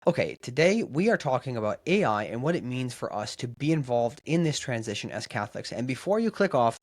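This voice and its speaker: A man hosting a podcast, reading a brisk, upbeat intro and then chatting with his guest. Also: medium pitch, dry recording, clean studio sound. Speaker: podcast host.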